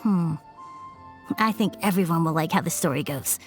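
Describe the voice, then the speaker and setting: A woman voicing a thoughtful, gravelly young boy. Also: fairly low pitch, normal speed, soft background music, natural voice. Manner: thoughtful, a bit nervous.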